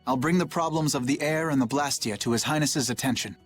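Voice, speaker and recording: A man's voice: mid-range voice, soft background music, natural voice. A man playing an earnest, formal young knight. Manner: polite, measured, serious. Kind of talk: video game voice acting.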